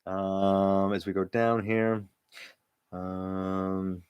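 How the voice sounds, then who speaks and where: A middle-aged man with a loud, carrying voice hosts a casual livestream, chatting and answering viewers' comments. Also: low-pitched, little pitch movement, very slow, clean tone, dry recording, dull audio. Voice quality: loud voice that carries. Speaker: livestream host; teacher. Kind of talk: casual live-stream chat with viewers.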